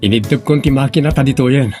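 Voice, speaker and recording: A man playing a hearty young fisherman boyfriend in an animated manner for an audio drama. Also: mid-range voice, quick pace, over music, natural voice. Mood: cheerful. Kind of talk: sentimental audio drama acting.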